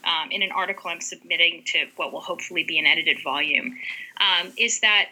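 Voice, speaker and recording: Bright-voiced woman scholar, thoughtful and halting. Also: lowish voice, rough recording. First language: Western Armenian. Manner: thoughtful, many fillers and restarts. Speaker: author and ethnographer. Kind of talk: academic interview answer.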